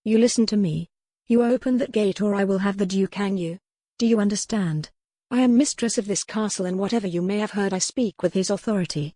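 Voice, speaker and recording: A woman's voice: medium pitch, a little fast, warm tone, dry recording, close to an everyday voice. A proud young noblewoman, acted by a woman narrator, defiant and imperious in a historical storybook. Her wording is formal and articulate, heard in a very dry, close recording. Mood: furious, commanding. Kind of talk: audiobook character dialogue.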